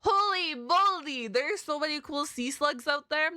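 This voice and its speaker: A woman's voice: sits high, expressive, a little slow, pushed and bright, no room sound, clean studio sound. A woman chatting casually as a reaction streamer, informal and slangy, often exclaiming. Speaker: reaction video host, streamer.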